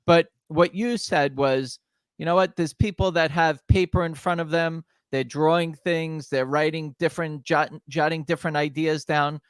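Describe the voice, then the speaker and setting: A man's voice: a little high, steady tone, moderate pace, clear, resonant tone, no room sound. A man hosting an invention interview show, asking loose, rambling questions. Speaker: show host.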